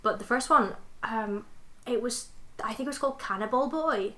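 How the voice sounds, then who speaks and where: A woman's voice: fairly high pitch, normal speed, a bit bright. A woman co-hosting a podcast, telling stories and chatting informally. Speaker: podcast co-host. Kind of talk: casual chat, informal storytelling.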